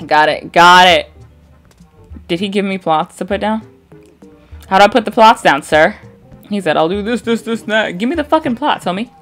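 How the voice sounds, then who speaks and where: A young woman from the American Midwest with a Midwest accent and a slight vocal fry, chatting bluntly and swearing while commenting on gameplay. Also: medium pitch, normal speed, long pauses, faint music behind. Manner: chatty, blunt, swears freely, reads game dialogue aloud flatly. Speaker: gaming streamer.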